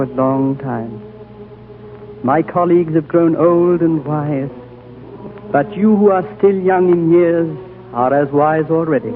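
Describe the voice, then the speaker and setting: Male actor as a grave old high lama, slow prophetic delivery, slightly high voice, tinny old radio sound. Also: natural voice. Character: ancient wise male high lama, solemn and gentle. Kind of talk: 1930s radio drama acting. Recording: old phone-like radio broadcast, faint music.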